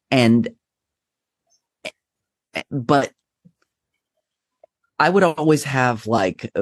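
A man's voice: medium pitch, dry recording. Chatty man with a dry, close sound. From Texas. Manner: rambling, self-deprecating, animated storytelling. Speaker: drag performance artist, interview guest. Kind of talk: conversational interview.

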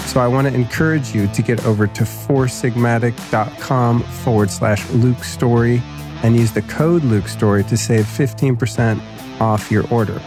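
A man hosting a podcast, talking in a laid-back, slangy, enthusiastic way, slightly nasal from a cold. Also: fairly low pitch. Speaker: podcast host. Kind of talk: casual podcast intro and ad reads.

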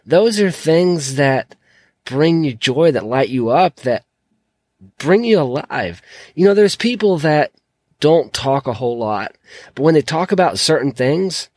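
Hoarse, croaky man hosting a podcast. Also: mid-range voice, lively intonation, slow, a little mellow, no echo, studio quality. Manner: earnest, encouraging. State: hoarse from a sore throat. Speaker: podcast host. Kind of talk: solo podcast monologue.

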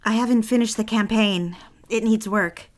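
A woman's voice: on the high side, fairly even intonation, normal speed, lightly acted. A woman playing an anxious young secretary. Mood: apologetic. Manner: anxious and apologetic. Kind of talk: audio drama acting.

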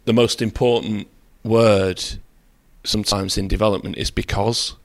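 A man being interviewed as a guest, speaking in a measured, candid way with self-deprecating asides. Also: fairly low pitch, steady tone. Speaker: property developer, interview guest. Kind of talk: conversational interview.

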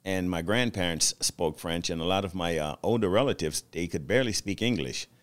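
A man's voice: low-pitched, brisk. A man in his fifties from Louisiana with a Louisiana accent, a guest telling easygoing stories in a casual podcast interview. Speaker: guest truck owner-operator.

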